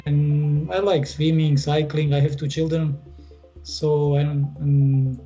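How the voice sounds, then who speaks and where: A man from eastern Slovakia with a Slovak accent, a tour guide speaking slow, hesitant English and searching for words. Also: on the high side, level tone, dark tone, dull audio, faint music behind. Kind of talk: casual interview chat.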